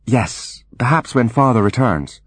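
A man voicing a calculating boy in an audiobook, speaking politely and carefully to a parent in measured phrases. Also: mid-range voice, animated, husky, no echo, pitched above the performer's own voice. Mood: smooth, obliging. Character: calculating, polite boy mastermind humouring his mother.

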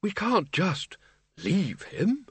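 Elderly storybook gentleman, played by a male narrator: raised pitch, quavery, courteous, a little sly. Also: wildly swooping pitch, very slow, husky, no echo, exaggerated voice. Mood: concerned. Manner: quavering, hesitant. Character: frail elderly man, Windle, polite but sly.

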